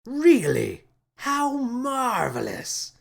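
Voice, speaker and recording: Wildly swooping, excitable space captain. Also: male voice, very high voice, extremely slow, gravelly, voice pushed up, full character voice. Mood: childlike delight. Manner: theatrical, exclamatory, swinging from menace to delight. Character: mad, excitable, childishly gleeful male space captain. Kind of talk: children's space adventure audiobook.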